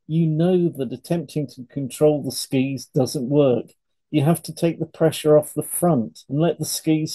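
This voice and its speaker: A man co-hosting an educational podcast, reading scripted material in a measured, instructive way. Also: on the high side, dark tone, rough recording. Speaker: podcast co-host, hypnotherapist. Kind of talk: scripted educational podcast read aloud in turns.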